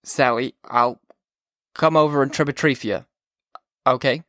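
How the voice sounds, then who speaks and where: A man's voice: medium pitch, unhurried, sharp tone, dry recording, clean studio sound. A man doing a slurring, stammering drunk teen boy.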